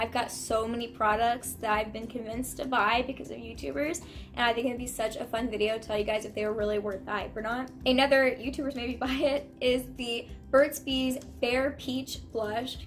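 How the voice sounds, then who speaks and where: A young woman with a croaky voice from a cold, chatting about her favorite products in her bedroom. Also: a little high. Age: young adult. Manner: chatty and gushing, with many fillers and repeats. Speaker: beauty vlogger. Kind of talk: casual product review chat. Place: bedroom, indoors, small.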